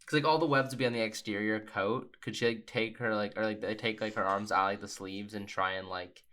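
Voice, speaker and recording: A man who is a tabletop game player, asking in a casual, tentative, halting way. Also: fairly low pitch, steady tone, pushed and bright. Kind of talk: casual chat.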